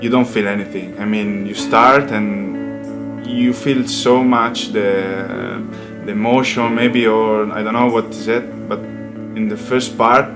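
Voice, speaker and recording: Man, halting English. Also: on the low side, steady tone, over music. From Italy. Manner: halting English with tag questions. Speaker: professional cyclist. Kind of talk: interview.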